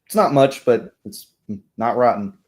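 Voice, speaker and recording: A man's voice: mid-range voice, expressive, unhurried, lightly acted. A man voicing a middle-aged woman innkeeper in a tabletop game, easygoing and world-weary, with a hesitant, offhand delivery. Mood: hesitant, apologetic.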